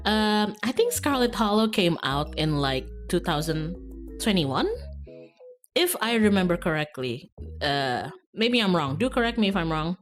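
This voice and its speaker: Lively woman streaming, slightly low voice. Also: measured pace. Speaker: streamer and VTuber. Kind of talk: casual livestream chat. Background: background music.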